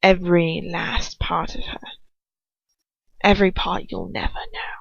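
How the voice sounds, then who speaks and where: Woman voicing a hushed, menacing male villain. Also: sits high, sing-song, dry recording, lo-fi sound, heavily acted. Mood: malicious. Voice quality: hushed, murmuring, breathy whisper. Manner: slow, malicious, condescending. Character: cold, menacing adult male villain, possessive and mocking.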